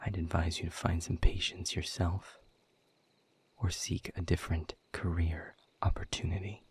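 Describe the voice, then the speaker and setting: A man playing a weary, bitter demon captive in an audio roleplay, speaking in a husky, breathy voice with measured, sardonic formality. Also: sits low, flat delivery, a little mellow. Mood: weary, resolute. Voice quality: husky, breathy, often dropping toward a whisper. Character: weary, bitter, guarded male demon captive, quietly defiant. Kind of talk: scripted audio roleplay monologue.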